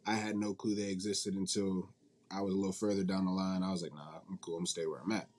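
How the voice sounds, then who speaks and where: Male interview guest, low relaxed voice, casual and colloquial, leans on repetition to make points. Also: quick pace. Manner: informal, colloquial, emphatic repetition. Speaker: guest, indie manga publisher and company CEO.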